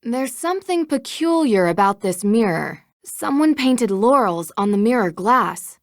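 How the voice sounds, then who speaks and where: A curious young woman detective from a video game. Her voice sits a little high and moves with lively intonation. She sounds plucky and inquisitive, recorded dry. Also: unhurried, dry recording. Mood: musing. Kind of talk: video game voice acting, scripted dialogue and narration.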